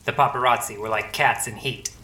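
A man playing a weary, melodramatic police detective in a parody noir radio play, wordy and deadpan. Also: medium pitch, a little fast, reverberant, slight background noise, natural voice. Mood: brooding.

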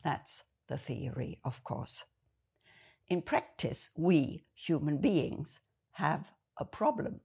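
Slow, low-voiced German-accented woman. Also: animated, creaky, darkish tone, husky. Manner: slow, deliberate, explanatory. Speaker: speaker. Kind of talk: measured spoken commentary.

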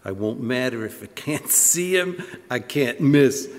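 A man's voice: medium pitch, expressive, a little slow, natural voice. A man playing a cold, cocky gangster boss in a live radio drama, bossy, with slangy tough-guy talk. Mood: menacing, cocky. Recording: echoey live hall.

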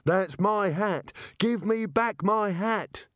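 A man's voice: medium pitch, sing-song, takes it slowly, a little mellow, no echo. Man playing an anxious, indignant, cowardly wizard. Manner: nervous, aggrieved protesting.

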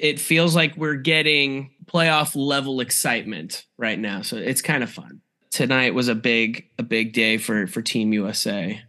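An American man with a slightly hoarse, tired voice, hosting a podcast and chatting casually about sports. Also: medium pitch, pushed and bright. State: a little tired and hoarse from cheering. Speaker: podcast host.

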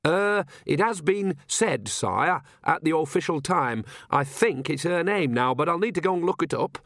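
A man voicing a nervous, deferential royal servant with a halting, apologetic manner, as in a storybook. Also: on the high side, lively intonation, fairly bright tone, dry recording, pitched above the performer's own voice.

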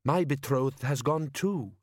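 A man's voice: medium pitch, takes it slowly, a little mellow, no echo, studio quality, pitched above the performer's own voice. A man voicing an earnest, naive young village woman.

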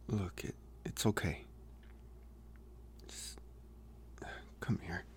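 A young man playing a protective bully with a secretly tender side in an intimate ASMR roleplay, speaking softly and haltingly with gruff care. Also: sits low, steady tone. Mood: gentle, reassuring. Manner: halting, soft-spoken, gruffly caring.

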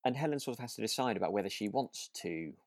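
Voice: mid-range voice, animated, quick pace, dry recording, studio quality. A podcast co-host chatting casually about a radio drama, hesitant and thinking aloud.

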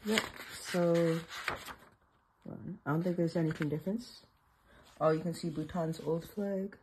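A speaker with a slight lisp giving a casual, unscripted show-and-tell in a halting, self-correcting way. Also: medium pitch, slight background noise, low-quality recording. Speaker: presenter showing an old atlas.

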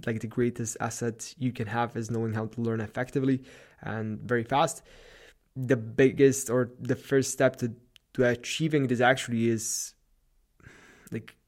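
A man's voice: medium pitch, darkish tone. A man with a Slavic accent hosting a solo podcast, giving a loose, rambling pep talk late at night in his apartment. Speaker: podcast host. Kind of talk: solo motivational podcast monologue.

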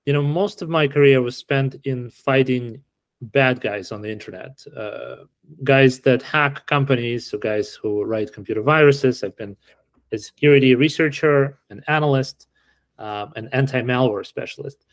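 A middle-aged man from Ukraine answering interview questions in a relaxed, explanatory way with frequent fillers. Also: mid-range voice, moderate pace, no echo. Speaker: podcast guest.

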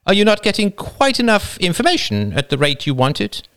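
A man voicing an older wife in a storybook reading, speaking in a brisk, dryly teasing way. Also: sits high, wildly swooping pitch, fairly bright tone, clear, resonant tone, voice pushed up, full character voice. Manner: dry teasing delivery.

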